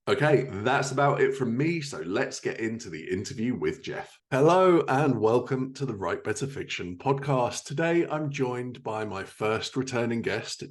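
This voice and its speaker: A man hosting a podcast, moving between scripted introductions and casual interview talk. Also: fairly low pitch.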